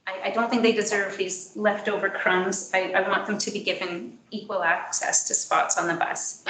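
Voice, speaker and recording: A soft-voiced woman, a parent, reading a prepared statement at a school board meeting in an earnest, measured way. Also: medium pitch, steady tone, a crowd in the background. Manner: earnest, measured, with frequent ums. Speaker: parent speaking at public meeting. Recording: echoey meeting room with faint noise.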